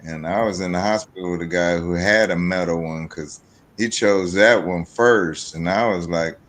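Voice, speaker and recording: A man's voice: sits low, normal speed, fairly bright tone. A man of fifty-eight talking casually and personally as a podcast guest.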